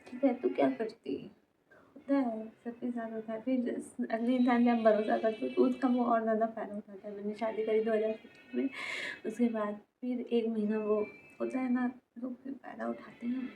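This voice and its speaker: Halting young woman, tinny noisy recording. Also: fairly high pitch. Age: 20s. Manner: halting, repetitive, trailing off mid-sentence. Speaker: vlogger. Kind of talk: personal confessional talk to camera. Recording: tinny phone-like sound, noisy background.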